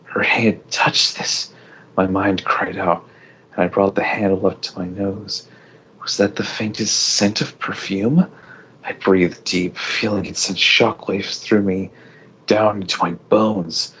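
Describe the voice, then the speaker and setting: A man's voice: sits low, level tone, a little slow, fairly bright tone, slight background noise. A man giving an amateur, melodramatic reading of story narration, stumbling over words now and then. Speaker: fan fiction reader. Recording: dull lo-fi home recording.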